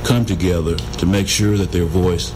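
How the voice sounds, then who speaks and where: A deep-voiced man hosting a radio talk show, putting earnest questions to his guest and stumbling a little. Low rumble and clear noise sit under the voice. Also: fairly even intonation, moderate pace. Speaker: radio host. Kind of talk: radio interview.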